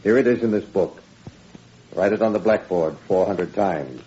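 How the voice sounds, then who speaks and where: An actor playing a stern, condescending Nazi schoolmaster in 1940s radio style, with pompous, lecturing delivery. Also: male voice, low voice, moderate pace, warm tone, lightly acted. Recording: muffled, noisy 1940s radio recording.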